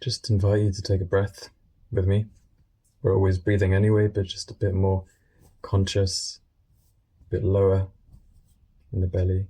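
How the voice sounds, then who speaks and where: A man guiding a meditation in a gentle, unscripted way, speaking informally and soothingly in short phrases with pauses. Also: deep voice, completely flat delivery, slightly rough, low-quality recording. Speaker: meditation guide.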